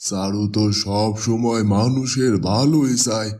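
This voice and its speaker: A man voicing a gentle, fatherly village elder speaking fondly to his daughter. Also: mid-range voice, steady tone, measured pace, lightly acted.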